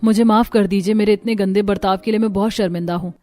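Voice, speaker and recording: A woman's voice: medium pitch, steady tone, quick pace, mellow, close to an everyday voice. A woman voicing a repentant, grateful young daughter-in-law in a cartoon drama. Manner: earnest, apologetic. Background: music.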